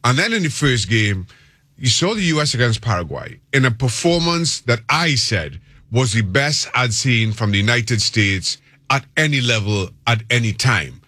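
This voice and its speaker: Measured Trinidadian male soccer pundit. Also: medium pitch, sing-song, sharp tone, dry recording, clean studio sound. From Trinidad and Tobago. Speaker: soccer pundit, interview guest. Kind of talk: considered soccer analysis in conversation.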